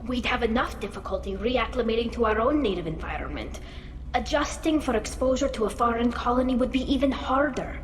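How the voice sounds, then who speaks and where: A woman's voice: fairly high pitch, natural voice. An actress playing a young alien woman engineer in a video game, an earnest, defiant daughter whose speech halts with emotion. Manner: earnest, halting under emotion. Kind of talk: cinematic video game voice acting. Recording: roomy echo, long reverb.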